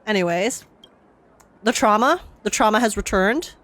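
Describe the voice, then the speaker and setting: A woman's voice: medium pitch, expressive, moderate pace, a bit bright, a little hiss or hum. A young woman live-streaming a game with casual, slangy reactions, expressively repeating phrases for effect.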